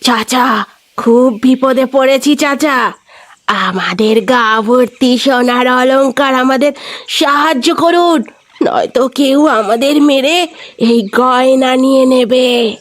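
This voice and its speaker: A woman's voice: high voice, slow pace. A woman playing the vengeful ghost of a murdered young woman in a horror audio story, menacing and repeating phrases with emphasis. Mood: feigned pleading.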